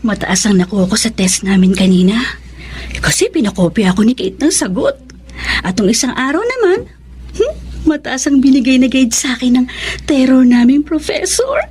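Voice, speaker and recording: A woman's voice: sits high, animated, normal speed, darkish tone, a little hiss or hum. A woman acting a scheming young student in a Filipino drama, breezy and coaxing, mixing in English words. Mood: smug, scheming. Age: young adult. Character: scheming, chummy female student buttering up classmate.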